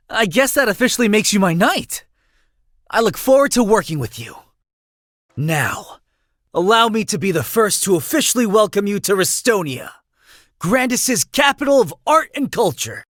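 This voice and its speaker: Game role: idealistic chatty young noble, bright-eyed and breezy, high voice, very lively pitch, dry close sound. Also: male voice, unhurried, no room sound, exaggerated voice. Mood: excited, grand. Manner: talkative, breezy, theatrical. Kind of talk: video game voice acting.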